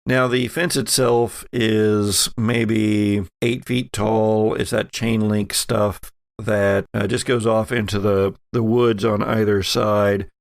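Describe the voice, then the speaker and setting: A man's voice: on the low side, flat delivery, slow, gravelly, no room sound. A man narrating a tabletop roleplaying game as game master in a conversational way.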